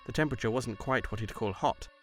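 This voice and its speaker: A man reading scripted story narration in a measured, literary delivery. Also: medium pitch, fairly bright tone, soft background music.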